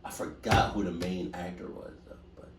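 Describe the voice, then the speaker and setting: A man's voice: sits low, steady tone, normal speed. A man giving casual, rambling, slangy commentary as a reaction video host. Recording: echoey, distant mic, faint noise.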